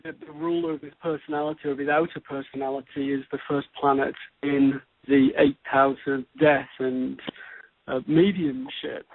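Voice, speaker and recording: A man co-hosting an astrology talk, giving conversational chart commentary in a halting, deliberate way. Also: on the high side, vocal fry, no echo. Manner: halting, deliberate, repeats and pieces phrases together. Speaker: co-host, astrologer. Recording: tinny, phone-like radio-show audio.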